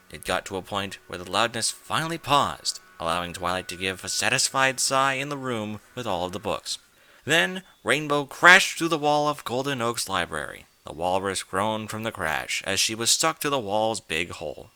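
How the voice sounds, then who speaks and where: A man's voice: lowish voice, big pitch swings, normal speed, sharp tone. A man narrating a scripted story in a dramatic, theatrical and emphatic manner. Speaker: narrator, reader of fan fiction.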